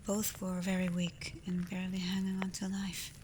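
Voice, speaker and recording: A woman voicing a caring alpha werewolf in a soft, breathy ASMR roleplay, gentle and reassuring, confident but privately unsure. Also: on the low side, moderate pace, lightly acted. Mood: affectionate. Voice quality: soft, breathy, often near a whisper. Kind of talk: ASMR roleplay, soft intimate talk to the listener. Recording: clear steady ambient noise.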